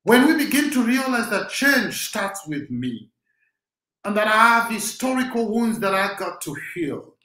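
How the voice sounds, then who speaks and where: Rousing Kenyan man, high voice. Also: sing-song, a little slow, pushed and bright, echoey room, low-quality recording. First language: Swahili. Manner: rousing, preacher-like. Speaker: host. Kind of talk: motivational talk.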